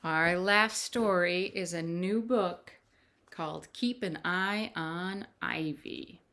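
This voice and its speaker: A woman hosting a children's storytime from home, reading aloud in an expressive, deliberate, sing-song way. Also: lowish voice. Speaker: children's storytime host, librarian. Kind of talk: read-aloud picture book storytelling for young children. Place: at home, indoors.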